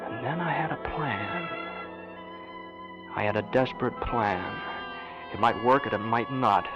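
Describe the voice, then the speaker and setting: An actor playing a desperate, vengeful wounded soldier in an old-time radio drama, urgent and bossy. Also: male voice, medium pitch, unhurried, muffled recording, loud music behind the voice, lightly acted. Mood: brooding, desperate. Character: desperate, vengeful wounded war veteran, a man in a wheelchair. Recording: vintage radio recording.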